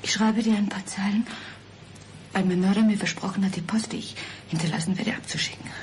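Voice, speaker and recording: An actress playing a frightened, resigned mother in a German radio crime drama, speaking in a subdued, often breathy way. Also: medium pitch, fairly even intonation, a little fast, a little hiss or hum, natural voice. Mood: despairing. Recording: studio radio drama with music.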